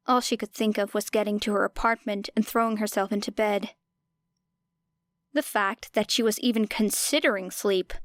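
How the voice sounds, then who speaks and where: A woman's voice: medium pitch, a bit bright, smooth, no echo. Woman narrating fiction, dry close sound. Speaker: audiobook narrator.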